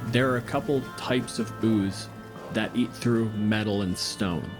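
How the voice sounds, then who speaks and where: A man improvises narration during a tabletop game. His style is casual and descriptive, and music is clearly audible behind his voice. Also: fairly low pitch, measured pace.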